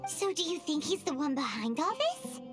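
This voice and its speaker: A tiny, excitable fairy companion chatters in a high, squeaky voice that leaps up and down. Her lines sit in game audio under loud background music. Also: moderate pace. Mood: eager. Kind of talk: game voice acting.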